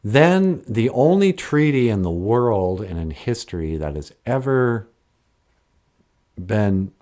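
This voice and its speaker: A man's voice: on the low side, sing-song, takes it slowly, warm tone. A man chatting on a livestream and answering his chat in a rambling way, often trailing off and correcting himself mid-sentence. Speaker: live streamer answering chat.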